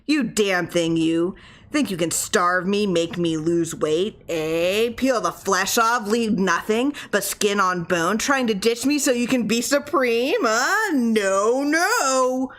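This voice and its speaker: A woman's voice: medium pitch, expressive, takes it slowly, fairly bright tone, pitched above the performer's own voice. A woman voicing a fearful, angry man obsessed with his own body, throwing out bitter, emphatic taunts in a horror story. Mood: furious, paranoid.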